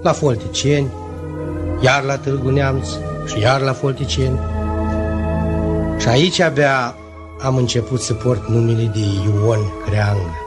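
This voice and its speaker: An actor playing a grown man reminiscing about his childhood, narrating an old radio drama in a reflective, measured way. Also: mid-range voice, fairly even intonation, lightly acted. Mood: nostalgic. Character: reminiscing grown storyteller recalling his village schooling. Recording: old radio recording under loud music.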